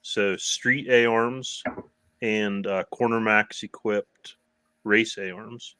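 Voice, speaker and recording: A man talking as a guest in a casual online chat, relaxed, with dry humor and hesitant fillers. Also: on the low side, drawn-out words, clear, resonant tone, no echo. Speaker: guest from a parts company. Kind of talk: casual video-call chat.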